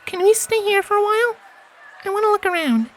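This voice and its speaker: Shy little filly, super high pitched, soft, curious kid pony in a story. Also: male voice, expressive, a little fast, slight background noise. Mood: timid, pleading. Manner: timid, soft.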